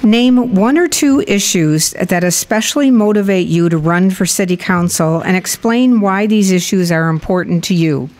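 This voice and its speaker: A woman's voice: lowish voice, moderate pace, few pauses, darkish tone. A woman formally moderating a candidate forum, calling on speakers and putting questions.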